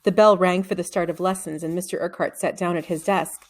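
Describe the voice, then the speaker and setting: A woman's voice: lowish voice, fairly even intonation, fast. A woman narrating a children's storybook from a script, reading expressively and acting out the dialogue. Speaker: read-aloud narrator of a children's novel.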